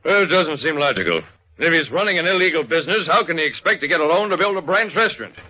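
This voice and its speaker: A man's voice: on the high side, animated, quick pace, sharp tone, voice pushed up. A curt, cold company boss , as a narrator puts him on, barks in a raised male voice. He is bossy and clipped, a radio-drama figure on a dull, noisy old recording. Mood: cold, displeased. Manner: clipped, brusque, commanding.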